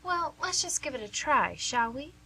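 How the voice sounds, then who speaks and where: Actress as perky, coaxing nurse for radio play, bright and a little high, on a slightly noisy recording. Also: female voice, sing-song, moderate pace, exaggerated voice. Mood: friendly, coaxing. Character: cheerful, probing, slightly fumbling woman nurse named Angie. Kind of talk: radio drama acting. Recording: noisy amateur recording with faint hum.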